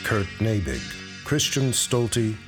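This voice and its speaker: A man's voice: fairly low pitch, sing-song, slow. A man giving scripted radio announcements and credits as a show announcer. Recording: music clearly behind the voice.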